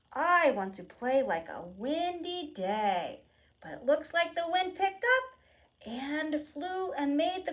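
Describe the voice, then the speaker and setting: A woman presenting a preschool storytime, reading aloud and explaining in simple, expressive phrases with questions for young children. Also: sits high, low-quality recording.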